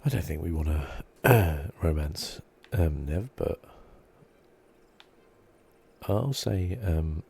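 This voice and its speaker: Very deep, creaky, hushed ASMR man. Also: takes it slowly, pauses often, slight background noise. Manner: hushed, relaxed, meandering. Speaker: ASMR gaming host. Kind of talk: soft-spoken ASMR gameplay commentary.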